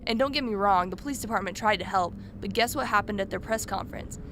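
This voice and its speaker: A woman's voice: medium pitch, fast talker, noisy background, close to an everyday voice. A woman playing a chatty, curious true-crime podcaster in a radio play, telling her story informally. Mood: chatty, intrigued.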